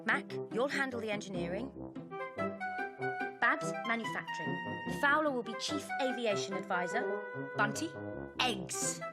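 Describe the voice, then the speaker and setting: Bossy cartoon hen, high lively voice, loud music underneath. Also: female voice. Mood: brisk, commanding. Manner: brisk, commanding. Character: bossy, determined female hen leader. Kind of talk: animated film character acting.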